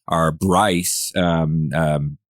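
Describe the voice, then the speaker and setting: A man's voice: rumbling low, fairly even intonation, extremely slow, no room sound, clean studio sound. A man with a Canadian accent hosting a casual podcast discussion, musing conversationally and putting questions to his guests. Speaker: podcast host.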